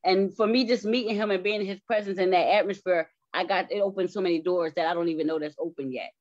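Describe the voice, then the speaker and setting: A woman's voice: fairly low pitch, fairly even intonation, fast talker, darkish tone. Effusive woman chatting over lo-fi audio. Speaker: stage play cast member. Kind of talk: casual conversation. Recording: dull, lo-fi audio.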